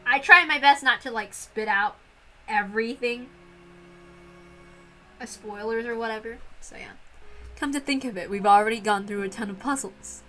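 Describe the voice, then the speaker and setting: A woman's voice: on the high side, moderate pace, pauses often, sharp tone, faint music behind, low-quality recording. A woman narrating a visual novel as a playthrough host, with casual asides.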